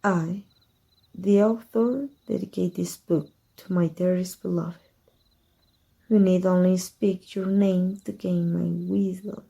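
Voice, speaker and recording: A woman voice actor playing a tender, teasing evil magic teacher in an intimate ASMR roleplay, slow and hushed with a husky, breathy voice. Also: fairly low pitch, fairly even intonation, heavily acted. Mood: solemn, measured. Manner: slow, hushed, deliberate, seductive.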